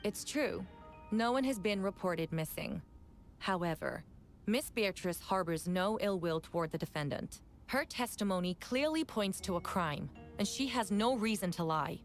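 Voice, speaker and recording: A woman's voice: medium pitch, expressive, faint music behind, close to an everyday voice. Stern, composed woman prosecutor played by an actress. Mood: firm, measured. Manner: formal, measured, deliberate. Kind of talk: video game voice acting.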